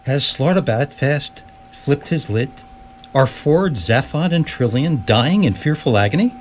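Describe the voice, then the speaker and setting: Man, scripted comic narrator, radio drama, highly varied intonation, faint noise and hum. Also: animated, unhurried. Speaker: storyline narrator. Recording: clearly noisy recording with hum.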